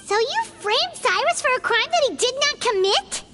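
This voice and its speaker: A woman voicing a small, chirpy female fairy-like companion in a video game, talking in a high, excitable, blunt way. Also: normal speed, faint music behind, heavily acted. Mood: curious.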